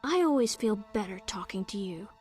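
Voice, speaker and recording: A woman's voice: mid-range voice, animated, brisk, loud music behind the voice, lightly acted. A woman voicing a lonely, anxious young boy in a video game, in a childlike, plaintive way. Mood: comforted.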